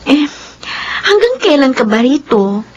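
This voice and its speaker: A woman's voice: high voice, big pitch swings, unhurried, highs cut off. A woman playing a teasing, inquisitive young country cousin in a Filipino radio drama. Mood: curious.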